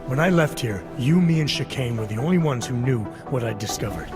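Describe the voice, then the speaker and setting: An actor playing a stoic, defiant special agent in a video game, speaking in a terse, dry, controlled manner. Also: male voice, medium pitch, animated, brisk, natural voice. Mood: accusing. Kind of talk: cinematic video game acting. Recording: game soundtrack music behind.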